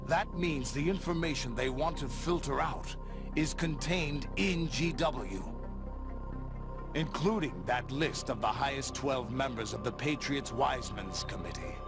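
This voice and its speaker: A calculating middle-aged warlord villain from a video game, acted by a man. He speaks in a grandiose, deliberate and menacing way at an ordinary male pitch. Prominent music plays behind his lines. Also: close to an everyday voice. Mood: intense, scheming. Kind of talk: dramatic video game cutscene acting. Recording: loud music behind the dialogue.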